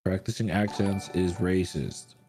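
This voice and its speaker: A man's voice: deep voice, measured pace, mellow, faint music behind. A man hosting a live trading stream, brash and crude, hyping the chat between trades. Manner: crude, brash hype talk. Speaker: host.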